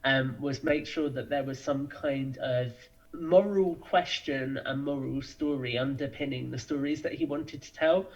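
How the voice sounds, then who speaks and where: A man's voice: mid-range voice, a little slow, dull audio. A man answering as a podcast guest, talking in a thoughtful, explanatory, conversational way.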